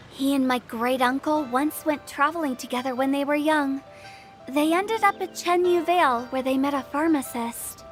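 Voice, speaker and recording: A woman's voice: high-pitched, fairly bright tone. A woman playing a mischievous young female funeral director in a video game, talking in a playful, teasing way.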